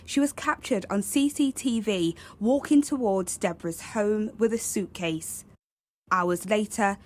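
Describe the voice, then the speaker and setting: A woman reads a scripted crime report. Her voice sits at an average pitch for a woman, and she presents the account as prepared narration.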